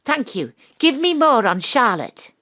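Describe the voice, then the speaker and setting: A woman's voice: high-pitched, very animated, a little slow, sharp tone, full character voice. A woman with a Welsh accent playing a brash, independent investigator, narrating an audio drama in a dry, blunt manner. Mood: impatient. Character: wry, brash, independent-minded female investigator narrating her case.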